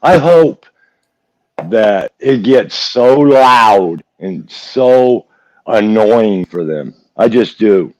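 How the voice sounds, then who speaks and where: A man's voice: fairly low pitch, lively intonation, extremely slow, darkish tone, poor audio. A man from West Virginia co-hosting a live true-crime chat, folksy and repeating himself for emphasis. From West Virginia, United States. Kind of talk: casual opinionated chat.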